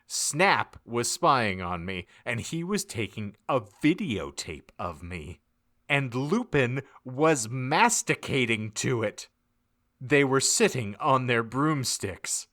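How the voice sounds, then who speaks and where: A man giving a mock-dramatic, theatrical narration of a comedic audiobook, with deliberate emphasis. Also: medium pitch, big pitch swings, pushed and bright. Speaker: podcast narrator.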